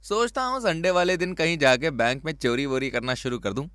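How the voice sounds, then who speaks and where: A man's voice: fairly high pitch, lively intonation, a little fast, fairly bright tone, no room sound, clean studio sound. A man playing a greedy, exasperated poor village husband in a cartoon story, sarcastic and grumbling. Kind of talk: Hindi cartoon story dubbing.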